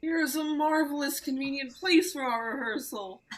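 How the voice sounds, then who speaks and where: Bustling amateur director, played by a woman, high voice, brisk. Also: natural voice, poor audio. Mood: businesslike.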